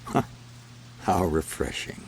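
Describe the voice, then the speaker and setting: An actor playing a cold older crime boss in a video game, sarcastic, drawling and menacingly polite. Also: male voice, slow pace, close to an everyday voice, low-quality recording. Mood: dry contempt. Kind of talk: video game cutscene acting.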